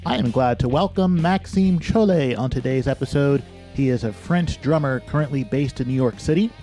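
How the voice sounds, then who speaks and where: A man hosts a podcast in a mellow, warm voice, delivering a measured, friendly introduction. Soft guitar music plays faintly behind him. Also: mid-range voice. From Dayton, Ohio. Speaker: podcast host. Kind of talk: scripted podcast intro and interview.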